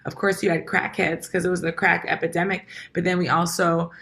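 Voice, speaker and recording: A raspy woman from Washington, D.C. talks as a podcast guest. Her voice is low-pitched but bright and forceful, and she makes her points with emphasis. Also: little pitch movement, brisk. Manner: emphatic, corrects details firmly. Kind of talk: casual conversation.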